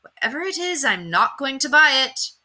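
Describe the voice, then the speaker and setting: A woman voicing an exasperated, firm mother with a sharp, scolding manner in a children's storybook. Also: sits high, big pitch swings, moderate pace, voice pushed up, full character voice. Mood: fed up. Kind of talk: children's storybook read-aloud.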